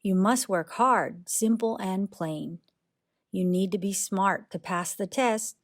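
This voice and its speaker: Woman, children's read-aloud, unhurried pace, medium pitch, expressive rhyming narration. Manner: expressive, deliberate storytelling.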